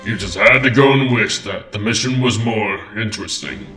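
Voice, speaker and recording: A man voicing a seasoned, wry robot officer with a dry, measured manner in an amateur comic dub. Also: fairly low pitch, sharp tone. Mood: dry, cautioning. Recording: roomy, with music bed.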